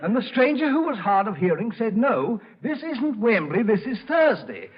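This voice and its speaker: High-voiced bumbling English gentleman. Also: sing-song, background noise. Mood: amused, rambling. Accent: British. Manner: plummy, doddering, halting. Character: jolly, bumbling upper-class English gentleman telling muddled anecdotes. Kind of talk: 1940s radio comedy acting. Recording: muffled old radio broadcast.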